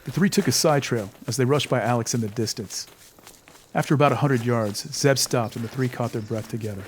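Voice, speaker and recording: A man's voice: mid-range voice, brisk, a little hiss or hum. A man narrating a scripted audio drama in a suspenseful storytelling manner. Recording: music bed under the voice.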